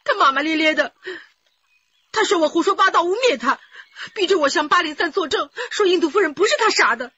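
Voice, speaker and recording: A woman's voice: very high voice, lively intonation, fairly quick, bright, forceful tone, full character voice. An actress playing a distraught woman rose grower who cries and pleads while telling detectives what happened. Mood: tearful. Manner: sobbing, pleading, wailing. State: crying. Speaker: witness in a murder case. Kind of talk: broadcast radio drama acting. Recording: dull lo-fi broadcast audio.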